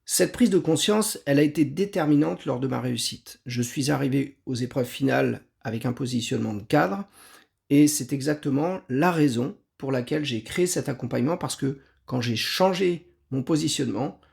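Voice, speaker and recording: A man, giving a measured, earnest talk as an exam prep coach. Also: medium pitch, gravelly, darkish tone. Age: 50s. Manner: measured, earnest, with occasional restarts. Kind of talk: scripted talk to camera.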